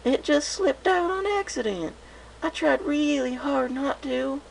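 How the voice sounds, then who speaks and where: A man's voice: measured pace, creaky, heavily acted. A man voicing a small, earnest filly, a little sister pony, in a storybook reading. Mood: tearful pleading. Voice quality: twangy, high and thin. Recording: noisy home recording.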